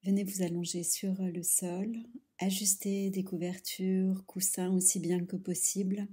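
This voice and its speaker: A woman guides a meditation in a soft, breathy voice that sits fairly low. She takes her time, leaving long pauses between short, soothing instructions, as a yoga nidra teacher does.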